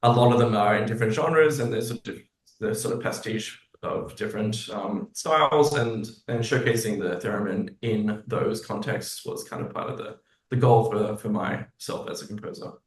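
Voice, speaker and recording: A man's voice: fairly low pitch, moderate pace. A man from Australia speaking as an interview guest, casual and reflective, hesitantly searching for his words. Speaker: composer and lyricist, interview guest. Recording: roomy echo on the voice.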